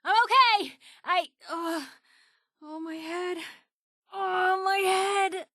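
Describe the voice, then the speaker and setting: A woman's voice: very high voice, steady tone, slow pace, bright, forceful tone, no room sound, studio quality, exaggerated voice. An actress playing a plucky, wisecracking young woman reporter in a post-apocalyptic video game, with a snappy, colloquial, teasing way of talking. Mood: pained. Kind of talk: video game companion voice acting.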